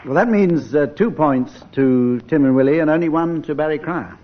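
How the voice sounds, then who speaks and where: A man's voice: on the high side, normal speed, a crowd in the background. Dry English radio panel chairman, dull noisy recording. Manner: dry, mock-formal delivery of jokes. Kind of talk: hosting a radio panel game before an audience.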